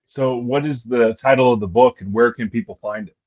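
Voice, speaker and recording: A man's voice: mid-range voice, fairly even intonation, brisk. A man hosting a live-stream interview, who asks friendly, easygoing questions with fillers. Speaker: interview host. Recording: dull, lo-fi live-stream audio.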